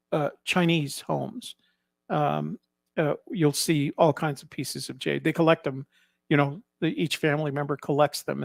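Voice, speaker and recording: A man giving casual, explanatory commentary as a vlog host, in a halting way, often repeating and rephrasing himself. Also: on the high side, vocal fry, no room sound, clean studio sound. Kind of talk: casual narrated photo commentary.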